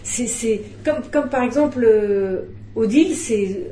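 Mellow-voiced woman director, roomy sound. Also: fairly high pitch, measured pace. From Belgium. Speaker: film director. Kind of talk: press conference interview.

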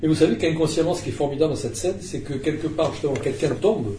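A man's voice: on the high side, lively intonation, fast, background noise. Distant man asking questions, echoey room. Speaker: film journalist. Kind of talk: press conference interview.

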